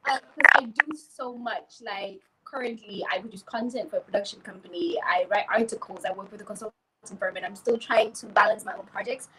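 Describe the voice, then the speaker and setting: A woman's voice: medium pitch, fairly quick, background noise. A woman from Tanzania and Cameroon, a French speaker, talking casually about herself in an interview. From grew up in Tanzania, born in Cameroon. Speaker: podcast host, journalist and entrepreneur.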